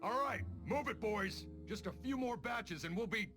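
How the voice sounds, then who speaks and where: A man playing a cocky, crude bandit boss in a video game, with rough street-thug diction. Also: high-pitched, animated, normal speed. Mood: cocky, bossy. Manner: crude, bossy, swinging into loud shouts. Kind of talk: animated video game acting. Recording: music behind the voice.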